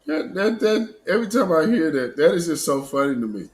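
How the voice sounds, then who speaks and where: American guy doing live opinion commentary, kinda high lively voice, sarcastic and chatty. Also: fairly quick. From Portland, Oregon, United States. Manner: mocking, sarcastic, conversational. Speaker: live show host.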